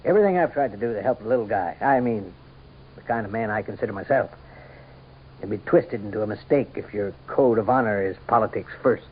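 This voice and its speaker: An actor playing a weary, principled governor and husband in a radio drama, speaking earnestly and with resignation. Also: male voice, lowish voice, expressive, brisk, natural voice. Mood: indignant. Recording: muffled, noisy old radio broadcast.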